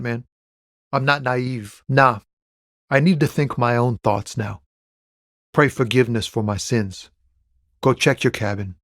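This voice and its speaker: Casting: a man to play a game's laid-back lorry driver. Medium pitch, casual and earnest, streetwise, halting when pressed to betray a friend; soulful, loyal. Dry recording. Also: no echo, natural voice. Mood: resigned, reluctant. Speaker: video game voice actor. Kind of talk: conversational video game character dialogue.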